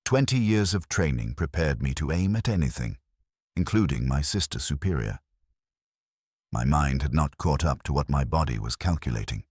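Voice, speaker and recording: A man reading a scripted dramatic story in the first person, solemn and measured, with clipped phrasing. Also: extremely low pitch, lively intonation, a little mellow, slightly rough, dry recording, studio quality. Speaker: narrator.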